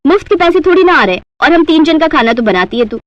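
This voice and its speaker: A woman's voice: high-pitched, sing-song, quick pace, no echo, lo-fi sound, voice pushed up. A woman voicing a bossy, stingy rich housewife with a curt manner in a Hindi cartoon story. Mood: scolding, dismissive.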